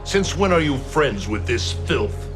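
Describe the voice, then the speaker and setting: An actor playing a stern, quick-tempered thunder god in a video game, speaking in a formal, commanding way. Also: male voice, mid-range voice, sing-song, over music. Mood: angry, distrustful. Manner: commanding, formal, solemn speech. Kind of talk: dramatic video game cutscene acting.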